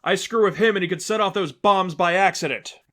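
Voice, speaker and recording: Man voicing a high, exasperated vigilante woman. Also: brisk, a bit bright. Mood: exasperated, refusing. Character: defiant female vigilante, tense, exasperated, shouting over battle. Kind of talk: audiobook-style character acting.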